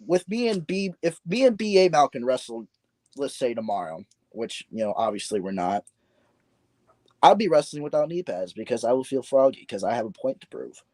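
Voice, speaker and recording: A man's voice: mid-range voice, very animated, moderate pace, a little mellow, no echo. Laid-back rambling man, dry sound. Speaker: podcast guest, wrestler. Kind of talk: casual chat.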